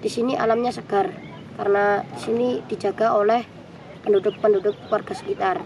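A boy of about twelve or thirteen, giving an earnest, slightly halting prepared testimonial to camera as a student scout. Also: high-pitched, fairly even intonation, darkish tone. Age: young teenager, about 12 to 13. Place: outdoors in a hillside camp garden. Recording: clear outdoor ambient noise.

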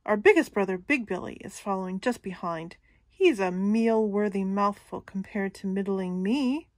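A woman's voice: mid-range voice, animated, normal speed, no room sound, natural voice. A woman voicing a medium-sized, courteous male billy goat. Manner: courteous, bargaining.

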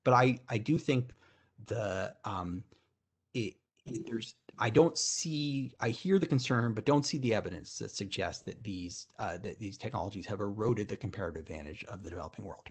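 A middle-aged man with a somewhat breathy, husky tone answers audience questions as a keynote speaker. He is on a video call from a room at home and talks in a thoughtful way, restarting phrases and filling pauses with "you know". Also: lowish voice, expressive. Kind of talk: conference Q&A answer.

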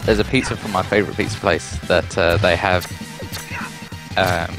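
A man's voice: low voice, steady tone, normal speed. A man with a British accent, chatting in an easygoing, laid-back way while co-hosting a game let's play. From Britain. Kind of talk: casual gaming commentary. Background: video game music.

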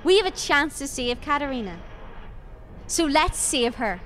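Woman acting plucky blunt sidekick in an audio drama, high n animated, bit of background noise. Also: a little slow, exaggerated voice. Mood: determined. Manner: blunt, informal. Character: the Doctor's frank, plucky female companion from Earth.

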